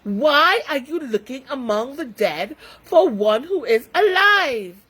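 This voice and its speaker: A woman narrator acts out a shining heavenly messenger in a storybook tale. The voice soars high and slow. It proclaims with wonder. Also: very animated, fairly bright tone, exaggerated voice. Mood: questioning. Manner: declamatory, wondrous.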